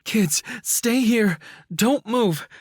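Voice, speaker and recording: A man's voice: high-pitched, lively intonation, very slow, slightly rough, dry recording, clean studio sound, voice pushed up, exaggerated voice. A man voicing a frantic, commanding mother with urgent demands in an audiobook drama.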